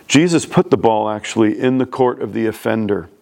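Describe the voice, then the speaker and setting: A man's voice: on the low side, fairly quick, gravelly, darkish tone. A man from New York City with a New York accent, preaching a sermon in a plain-spoken, conversational way. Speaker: pastor.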